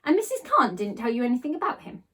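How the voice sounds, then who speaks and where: A woman voicing a caring, gently concerned mother in a children's storybook, with a lilting, questioning manner. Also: high-pitched, very animated, quick pace, breathy edge, full character voice.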